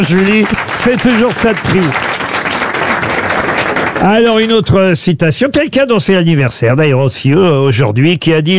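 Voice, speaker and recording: A man's voice: high voice, a little hiss or hum. A man hosting a radio panel quiz, reading out quotes and teasing the panelists in playful banter. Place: radio studio with live audience.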